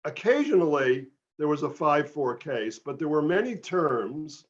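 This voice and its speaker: An older man, an author, explains a historical court case in a lecture-style library talk, pausing with fillers and correcting himself as he goes. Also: on the high side, big pitch swings. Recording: dull lo-fi recording.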